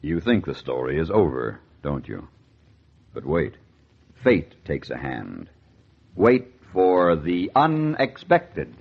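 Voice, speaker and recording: Husky, ominous radio suspense announcer. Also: male voice, extremely low pitch, huge pitch swings, slow, heavily acted. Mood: ominous, teasing. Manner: theatrical, portentous. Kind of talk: 1940s radio announcing, scripted. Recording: dull, muffled 1940s radio recording.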